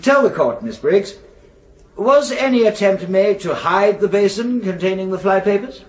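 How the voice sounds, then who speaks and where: Defence counsel: high voice, measured, leading cross-examination, radio courtroom drama. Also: male voice, expressive. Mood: probing, formal. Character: suave, probing defence barrister cross-examining.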